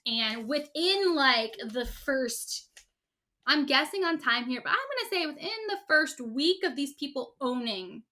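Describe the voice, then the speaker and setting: Woman, podcast co-host, rambling casual retelling, bright tone. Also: high voice. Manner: rambling, many fillers, acts out quotes. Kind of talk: casual chat retelling a story.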